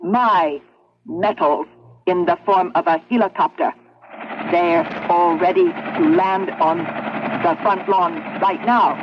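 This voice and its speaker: An actress in a 1970s radio drama playing an eerie, insistent female voice of sentient metal, deliberate and coaxing. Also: fairly low pitch, a little hiss or hum. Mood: ominous. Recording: dull lo-fi 1970s radio broadcast.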